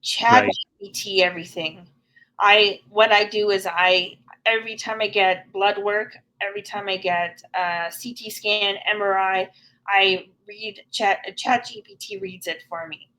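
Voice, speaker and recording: Woman guest, middle-aged, frank and earnest, unhurried delivery. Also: medium pitch, sharp tone, low-quality recording. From Canada. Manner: earnest, frank and plain-spoken. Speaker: podcast guest. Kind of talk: candid conversational interview.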